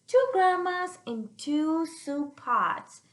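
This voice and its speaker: Lady doing storytime for kids, slow n very expressive, stops to explain, echoey room. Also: very high-pitched. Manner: animated, checks understanding with listeners. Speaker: storyteller reading to children. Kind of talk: read-aloud with simple explanations.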